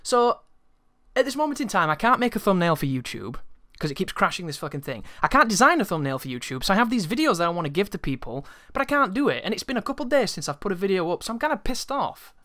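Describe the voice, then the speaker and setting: A man's voice: high-pitched, very animated, fast talker, pushed and bright, dry recording. A man telling a casual, rambling story to his viewers in an exasperated, sweary way, close to the microphone. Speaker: YouTuber.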